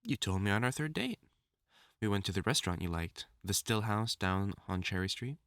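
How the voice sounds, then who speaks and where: A man's voice: on the low side, animated, moderate pace, fairly bright tone, no echo, clean studio sound. A man acting a lovesick, obsessive supervillain scientist with formal, theatrical diction in a dramatic audio roleplay monologue. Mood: tender. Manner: formal, theatrical diction, tender endearments breaking into anguished outbursts.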